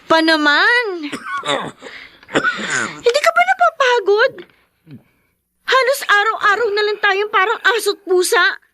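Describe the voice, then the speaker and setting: An anguished young daughter in a melodramatic radio play. Her voice is very high and piercing, full of wounded bitterness and pleading. The sound is dry and close. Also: expressive, measured pace, long pauses, bright, forceful tone, no echo, exaggerated voice. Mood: exasperated. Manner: emotional, pleading outbursts. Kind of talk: melodramatic Filipino radio drama acting.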